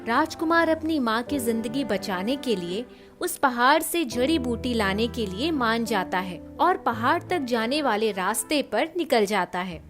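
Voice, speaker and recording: A woman narrates a children's fairy tale in a scripted storytelling style. Her voice is high-pitched, and music plays clearly behind her. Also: animated, measured pace.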